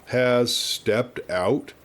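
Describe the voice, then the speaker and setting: An old western cattleman , acted out by a male narrator, gaunt and demanding. He whines and bursts out by turns, repeating himself as he insists on answers. It is storybook character work at a middle pitch. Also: very slow, a bit bright. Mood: slow, suspicious. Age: older. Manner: whining, explosive, repetitive pleading.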